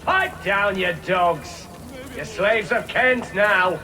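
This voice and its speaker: Piercing, bullying soldier. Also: male voice, high-pitched, lively intonation, slow, bright, forceful tone, faint music behind, exaggerated voice. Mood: contemptuous. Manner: barking, domineering. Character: harsh, bullying Saxon soldier. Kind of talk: video game character acting.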